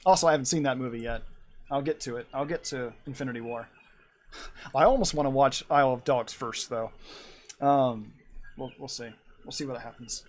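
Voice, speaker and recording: A man's voice: medium pitch, normal speed, pauses often. A young man in his twenties chatting and rambling on a live art stream as he draws, laughing often. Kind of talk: casual chat while drawing.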